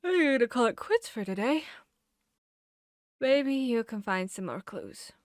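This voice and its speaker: A woman playing a confiding, bookish vampire hunter in a fantasy ASMR roleplay, talking intimately with pauses. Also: on the high side, big pitch swings, no room sound, clean studio sound. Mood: casual, teasing. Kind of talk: ASMR roleplay audio drama.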